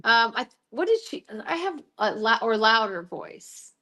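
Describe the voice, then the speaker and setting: Halting woman reading her notes. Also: on the high side, very animated, bright, forceful tone. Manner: halting, many restarts while reading notes. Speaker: co-host recapping trial notes. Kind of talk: casual chat, reading from notes.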